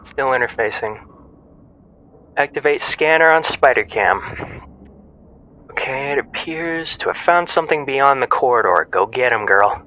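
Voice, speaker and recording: A woman playing a teasing, excitable ghost hunter in an audio drama, with a wry, animated way of talking. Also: a bass voice, unhurried, slight background noise, exaggerated voice, poor audio. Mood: wry, focused. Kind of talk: audio drama acting, naturalistic dialogue.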